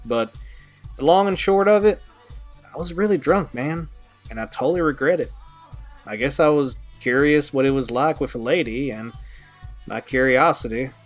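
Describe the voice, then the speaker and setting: Man acting a wry, laid-back guy, medium-pitched. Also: close to an everyday voice. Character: easygoing, wry young guitarist friend, sheepish but good-humoured. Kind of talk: amateur audio drama acting.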